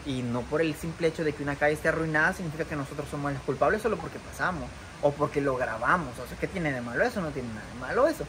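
A man's voice: fairly high pitch, lively intonation, fast, hardly any pauses. A young man, a content creator, talking to the camera outdoors beside a muddy rural road, earnest and defensive, with many fillers and restarts. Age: young adult. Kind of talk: vlog to camera. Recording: outdoor noise behind. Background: outdoor ambience.

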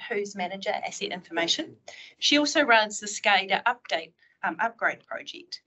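A New Zealand woman briefs a council on project updates. Her voice is bright and clear, and her delivery is steady with a few fillers. She sounds like she is in a large meeting room, picked up by table mics. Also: mid-range voice, poor audio. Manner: opens with a Māori greeting, steady presenting with fillers.

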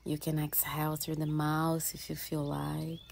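A woman leading a guided meditation in a soothing, gentle manner, with soft breathy phrase endings and long pauses between short phrases. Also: deep voice, monotone. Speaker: yoga and mindfulness teacher leading a guided meditation. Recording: faint ambient noise with birdsong. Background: birdsong and nature ambience.

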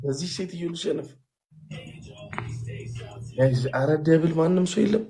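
A young Ethiopian man in his twenties, a native Amharic speaker, bantering loudly in broken English with many hesitations during a livestream battle, recorded on a phone in a small indoor room. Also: fairly high pitch, racing, vocal fry, music in the background. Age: 20s. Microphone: phone selfie camera.

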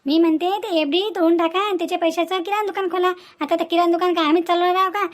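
Cartoon casting: woman as spiteful young daughter-in-law, extremely high voice, rapid indignant scolding. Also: fairly even intonation. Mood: scornful. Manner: scolding, repetitive, rhetorical questions. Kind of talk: Marathi family cartoon dialogue.

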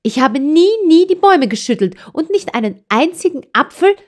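A woman's voice: sits high, sing-song, normal speed, pitched above the performer's own voice. A woman playing a breathless, indignant young girl. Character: spirited young mountain girl, proud and stubborn.

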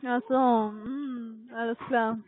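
A woman's voice: a little high, flat delivery, takes it slowly. A woman having a casual, informal chat on the telephone. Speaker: call center worker. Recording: tinny phone line.

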